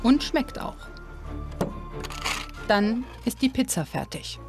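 A woman narrating a history documentary in a calm, informative and lightly playful manner, and also reporting on camera. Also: a little high, slow. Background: music.

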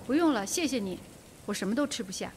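A woman's voice: on the high side, lively intonation, fast talker, noisy background, lightly acted. An actress playing a frightened young woman secretary in a radio drama. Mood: uneasy, subdued.

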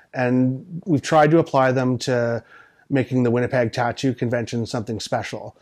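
Man, forties, mid-pitched voice, earnest and measured interviewee, tattoo artist talking in a small room. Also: darkish tone. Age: 40s. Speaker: tattoo artist and convention organizer. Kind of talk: interview answers, reflective conversation.

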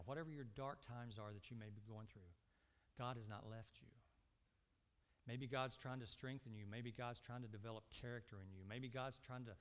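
A man in his fifties delivers a sermon with an average-pitched voice that rings through a long hall reverb. He is emphatic, putting short rhetorical questions to his listeners and repeating key phrases. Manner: asks the congregation short rhetorical questions and answers them. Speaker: preacher. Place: indoor church stage at a lectern.

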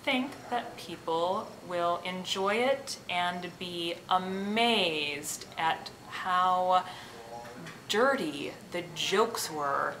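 A woman with a slightly low voice explains a play as its director. The recording is echoey, with clear noise behind her. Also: very slow, a bit bright. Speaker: theatre director.

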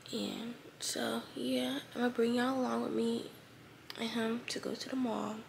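A teenage girl in her bedroom, talking casually to her phone in a sleepy, raspy voice as if she just woke up. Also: on the high side, a little hiss or hum. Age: teens. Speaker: vlogger. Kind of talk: casual morning vlog talk. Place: bedroom, indoors. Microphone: phone held close at arm's length.

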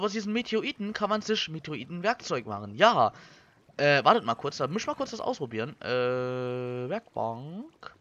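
A young man with a fairly high voice, recorded a little dull and lo-fi. He comments casually on his gameplay as a Let's Play host. His talk is colloquial, and he thinks out loud as he goes. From Germany. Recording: slightly dull, lo-fi microphone.

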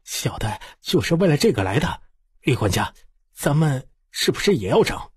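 A man voicing an excitable, self-pitying middle-aged male grain shop clerk in an audiobook, wailing and exclaiming. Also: mid-range voice, moderate pace, echoey room, long reverb tail, voice pushed up, lightly acted. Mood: cautious.

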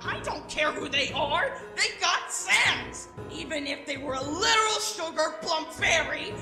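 A woman voicing a brash, hot-headed female warrior in a cartoon comic dub, loud and blustering. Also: very high-pitched, full character voice. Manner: loud, blustering, prone to shouting. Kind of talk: animated comic dub acting. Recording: roomy echo over loud music.

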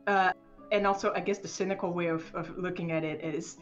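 A woman's voice: on the low side, steady tone, fairly quick, highs cut off, soft background music. A woman chatting casually as a guest, with a giggly, self-deprecating and wry way of talking. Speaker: game developer and animator, guest. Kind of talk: casual conversational discussion.

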